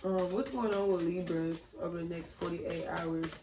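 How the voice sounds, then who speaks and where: A young woman with a slightly raspy, low voice chats through a tarot reading in a loose, slangy way. Her delivery stays fairly flat. The sound is roomy and picked up from a little way off. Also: moderate pace, heavy background noise. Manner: chatty, slangy, thinking aloud. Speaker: tarot reader. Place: bedroom at a table. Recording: roomy, a bit far from the mic.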